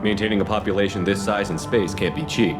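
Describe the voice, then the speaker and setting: An actor playing a tough, blunt military commander in a video game, speaking in a terse, firm and challenging way. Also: male voice, on the low side, quick pace, natural voice. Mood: probing. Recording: loud music behind.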